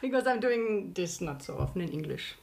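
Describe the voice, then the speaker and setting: A woman's voice: on the low side, animated, a little fast, vocal fry, darkish tone. A woman hosting a podcast as an informal interviewer. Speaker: podcast host.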